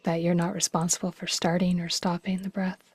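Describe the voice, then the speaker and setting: Soft breathy lady doing a slow guided meditation, dark relaxed tone, close dry sound, lots of pauses. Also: fairly low pitch, no room sound. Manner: gentle, hushed, breathy delivery with long pauses.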